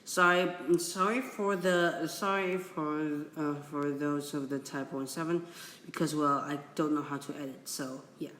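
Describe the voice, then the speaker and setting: Halting young man from Indonesia. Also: a little high, steady tone, fairly bright tone, slight background noise. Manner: halting, many restarts and apologies. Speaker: video creator. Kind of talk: casual screen-recorded explainer reading from a wiki.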